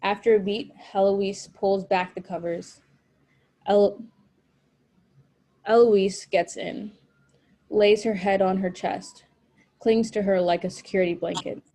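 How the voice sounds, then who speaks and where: A woman's voice: mid-range voice, fairly even intonation, slow, long pauses, warm tone. A woman narrating stage directions from a screenplay at a table read.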